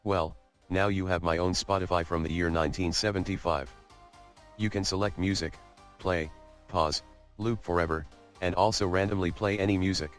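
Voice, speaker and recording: Low-voiced man narrating a jokey vlog. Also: steady tone, normal speed, faint music behind. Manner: jokey, mock-boastful. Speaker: video creator narrating. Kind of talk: scripted comedic coding vlog narration.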